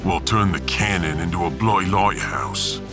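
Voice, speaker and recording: A big, battle-hungry starship crewman acted by a man for a sci-fi audiodrama. His voice sits low and gruff, his manner is blunt and matey, and music plays clearly behind him. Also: moderate pace. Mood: gruffly confident. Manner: blunt, terse, matey slang.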